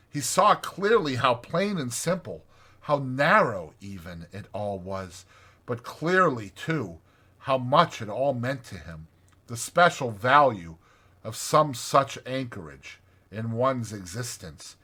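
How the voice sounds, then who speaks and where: A man narrating a classic storybook as a dramatized audiobook, in an expressive, measured way. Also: medium pitch.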